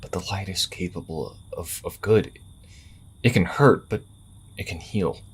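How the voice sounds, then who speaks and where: A man's voice: deep voice, a little slow, breathy edge, full character voice. A man playing an earnest, conflicted young priest in a fantasy audio drama, hesitant and prone to stammering. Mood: upset, pleading. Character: earnest, conflicted twenty-two-year-old male priest.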